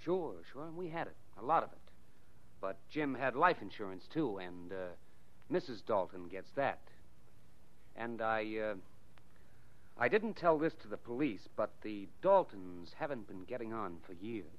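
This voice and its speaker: A man's voice: fairly low pitch, very animated, measured pace. An actor playing a curt, guarded businessman under suspicion in a 1940s radio drama. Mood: confiding, wary. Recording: dull, lo-fi 1940s radio recording.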